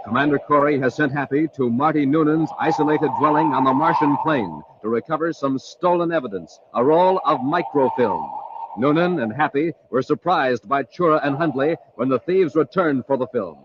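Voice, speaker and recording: A man's voice: on the high side, moderate pace. A man announcing in scripted 1950s radio style, with a dramatic, emphatic delivery. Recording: noisy muffled vintage radio recording.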